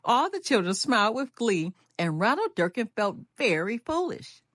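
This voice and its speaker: An older woman reading a children's picture book aloud as a storyteller, with an animated, deliberate delivery and drawn-out refrains. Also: on the high side, dry recording, studio quality.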